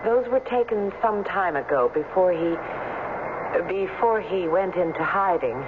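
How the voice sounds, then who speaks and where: A woman's voice: lowish voice, a little slow, natural voice. A woman acting a guarded, businesslike assistant in a 1970s radio drama, speaking tersely and with reserve. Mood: reserved, evasive. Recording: muffled, noisy 1970s radio recording.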